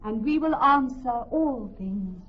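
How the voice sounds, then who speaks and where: A woman's voice: on the high side, lively intonation, takes it slowly, faint music behind. A woman playing a witty, commanding young noblewoman in classical Shakespeare radio drama, poised, articulate and theatrical. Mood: poised assurance. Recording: dull, lo-fi old radio recording.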